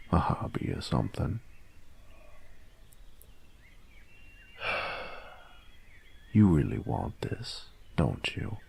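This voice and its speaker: A man playing a cocky young bully who is secretly soft on his boyfriend in an intimate audio roleplay, gravelly and husky, grudging and teasing in a cool-guy way. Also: very deep voice, full character voice. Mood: grudging, softening.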